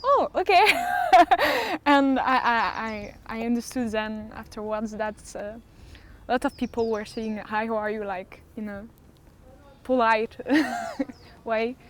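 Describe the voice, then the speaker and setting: Slow, high-pitched young Frenchwoman, hesitant speaker. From south of France. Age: young adult. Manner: hesitant, searching for words, giggly. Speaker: exchange student interviewee. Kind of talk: casual interview answers.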